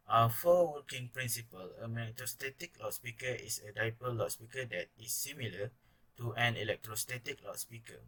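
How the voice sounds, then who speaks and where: Young malaysian student guy reading his class presentation, bright sharp voice. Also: mid-range voice, poor audio. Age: young adult.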